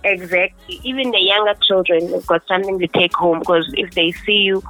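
A woman's voice: lowish voice, steady tone, fairly quick, sharp tone, dry recording, faint music behind. Woman with a level voice on a phone line. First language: Xhosa. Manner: thoughtful, self-assured, with frequent restarts. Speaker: singer being interviewed. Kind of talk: phone interview. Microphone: telephone.

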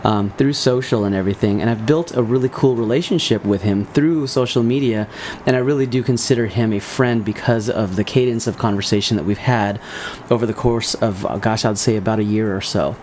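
A man's voice: lowish voice, brisk, darkish tone, slight background noise. A man hosting a casual interview, friendly and loose, with slangy phrasing and frequent laughs. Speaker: podcast host.

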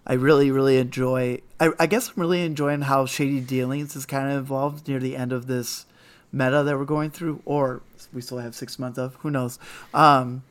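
A man co-hosting a casual card game podcast, his voice raspy and hoarse from overuse, rambling with many fillers. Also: medium pitch, steady tone, moderate pace. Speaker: podcast co-host.

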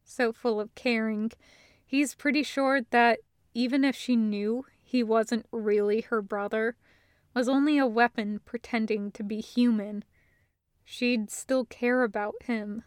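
A woman reading a story as scripted narration, with measured, deliberate phrasing. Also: a little high, steady tone, clear, resonant tone, dry recording, clean studio sound. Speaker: audiobook-style fiction reader.

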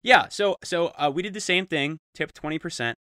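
A man co-hosting a podcast, chatting casually and trading quick jokes. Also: fairly high pitch, bright, forceful tone, dry recording. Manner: joking, quick banter. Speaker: podcast co-host. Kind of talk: casual comedic chat.

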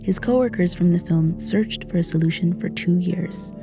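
Female documentary narrator over clear music. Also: fairly low pitch, normal speed.

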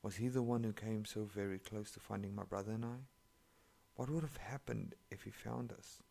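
A man's voice: fairly low pitch, steady tone, normal speed, vocal fry, dark tone. A man, not an English speaker, reading online stories aloud and breaking in with sarcastic, profane commentary. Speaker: story reader.

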